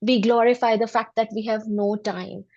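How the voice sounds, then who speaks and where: A woman's voice: on the high side, fairly even intonation, moderate pace, vocal fry, darkish tone, dull audio. Earnest woman coach from Delhi. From Delhi, India. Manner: earnest, reflective, laughs often. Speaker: guest, women empowerment coach. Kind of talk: interview conversation.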